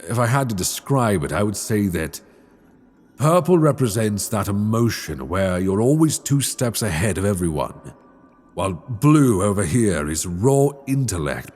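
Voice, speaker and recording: Man playing a deep, husky dragon king. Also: a little mellow, heavily acted. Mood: brooding. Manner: brooding, theatrical, measured storytelling with sighs. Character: ancient male dragon king, regretful, proud, wryly tender. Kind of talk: ASMR dark fantasy audio roleplay. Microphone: close binaural microphone.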